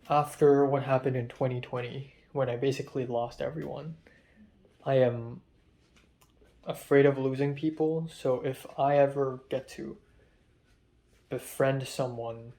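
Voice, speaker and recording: A young man with a Hong Kong English accent and a raspy, muffled voice, talking in a rambling, self-deprecating way in a confessional vlog, with many um fillers. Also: mid-range voice, dark tone. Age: young adult. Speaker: vlogger.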